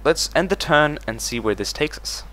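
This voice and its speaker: A man's voice: fairly high pitch, animated, moderate pace, fairly bright tone, low-quality recording. A man hosting a let's play, talking through his strategy game moves as he thinks them over. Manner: thinks aloud while managing a strategy game. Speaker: gaming channel host.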